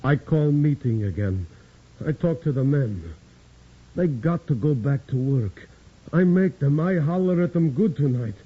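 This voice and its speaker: A blustering old immigrant miner. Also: male voice, fairly high pitch, animated, measured pace, husky. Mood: remorseful. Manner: broken English, blustering. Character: old immigrant miner father, hot-tempered. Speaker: union leader. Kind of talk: 1940s radio acting. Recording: dull old radio recording with hiss.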